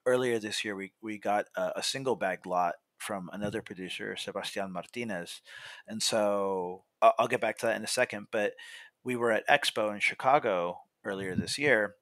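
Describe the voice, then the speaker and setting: A man's voice: fairly low pitch, moderate pace, a bit bright, no room sound. A young American man talking in a chatty, casual storytelling way as a podcast guest, peppering his talk with "like" and "you know". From Monrovia, California. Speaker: podcast guest, coffee roaster.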